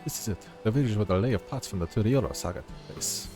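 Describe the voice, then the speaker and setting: A man's voice: on the low side, expressive, fast. A man streaming a video game, reading its dialogue aloud and chatting casually, sometimes stumbling over the words. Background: game music.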